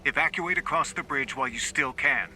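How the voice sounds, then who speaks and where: An actor voicing a cool, cynical military intelligence superior in a video game, measured, dry and detached. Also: male voice, medium pitch, sharp tone, a little hiss or hum. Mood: coolly instructing. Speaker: mission commander. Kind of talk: video game cutscene acting.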